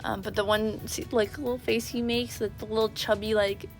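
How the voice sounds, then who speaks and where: A woman's voice: medium pitch, little pitch movement, moderate pace. A woman vlogger chatting casually and gushing like a fan in a rambling way, over background music. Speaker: YouTube vlogger. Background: video game background music.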